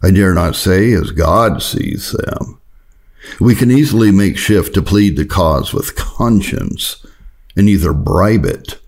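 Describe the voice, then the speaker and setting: A man's voice: low voice, a little mellow. A man reading an old Puritan sermon aloud in a solemn, earnest, exhorting manner. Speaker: reader of historical Puritan sermons.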